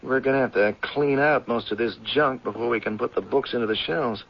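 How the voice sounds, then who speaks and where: A man's voice: medium pitch, fairly quick, a little hiss or hum, lightly acted. An actor playing a curious, playful young male professor who grumbles mildly in a 1970s radio drama. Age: young adult. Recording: muffled 1970s radio broadcast.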